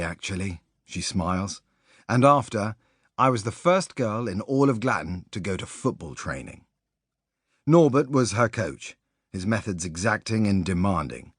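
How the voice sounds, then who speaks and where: Male narrator for non-fiction audiobook: lowish pitch, highly varied intonation, measured storytelling, close dry sound. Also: a bit bright, slightly rough, no room sound. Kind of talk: scripted narration of a sports biography.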